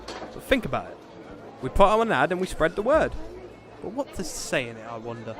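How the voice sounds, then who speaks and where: An actor with a British accent playing a pompous, oblivious gentleman knight in a comedic fantasy audio drama. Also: fairly high pitch, sing-song, fairly quick, over music, a crowd in the background. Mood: eager, self-satisfied. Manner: pompous, theatrical, chatty.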